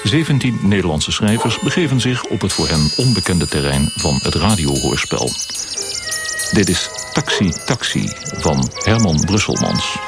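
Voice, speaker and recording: A man's voice: low voice, big pitch swings, moderate pace. A man reading a scripted introduction as a radio drama announcer. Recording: loud music behind the voice.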